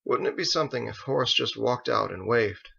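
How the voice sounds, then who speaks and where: A man voicing a quiet, wistful older man with an earnest manner in an audiobook. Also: medium pitch, a little fast, gravelly, slightly rough, full character voice. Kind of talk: acted audiobook dialogue. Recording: dull lo-fi recording.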